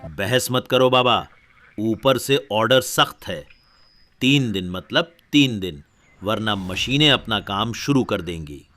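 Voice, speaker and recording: A man's voice: lowish voice, animated, slow, sharp tone, soft background music, exaggerated voice. A man voicing a stern, unyielding government officer in a cartoon story, curt and commanding. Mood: stern, threatening. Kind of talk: animated moral story dialogue.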